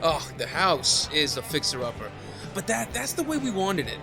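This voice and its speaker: An actor playing an easygoing, easily bewildered young father-to-be with halting delivery in an audio comedy sketch. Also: a little high, very animated, gravelly, over music. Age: young adult.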